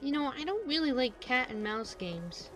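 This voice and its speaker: A woman's voice: high-pitched, big pitch swings, moderate pace, a bit bright. A woman streamer giving informal, blunt, wry commentary while playing a game. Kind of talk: casual live game commentary. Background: game music.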